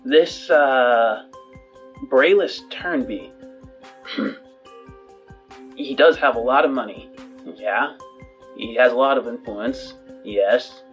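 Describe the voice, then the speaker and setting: A man voicing an aggrieved, wary male informant, needling and halting, in a science fiction tabletop game. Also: mid-range voice, lo-fi sound. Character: gruff, aggrieved male informant calling a powerful client.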